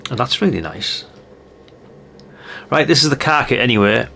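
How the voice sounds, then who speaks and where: A man's voice: mid-range voice, animated, normal speed, creaky, bright, forceful tone, a little hiss or hum. An older man chatting in a rambling way, thinking aloud through a casual hands-on product review. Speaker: radio hobbyist reviewer.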